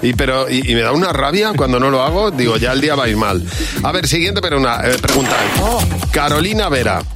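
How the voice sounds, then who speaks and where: A man's voice: mid-range voice, lively intonation, fast. A man with a Castilian Spanish accent hosting a casual radio chat from a studio. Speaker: radio show host. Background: music under the talk.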